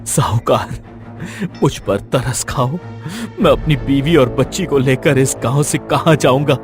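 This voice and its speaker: Guy acting poor cartoon village dad, earnest n protective, normal pitch, soft music under. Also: unhurried, lightly acted. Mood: pleading, protesting. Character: poor, devoted village husband and father. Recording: light music bed behind.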